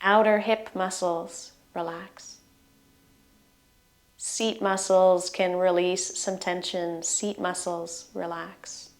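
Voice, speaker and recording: Slow-paced woman guiding meditation. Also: on the low side, little pitch movement. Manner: soothing, gentle instructions in short phrases with trailing ends. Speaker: meditation guide. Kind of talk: guided relaxation meditation.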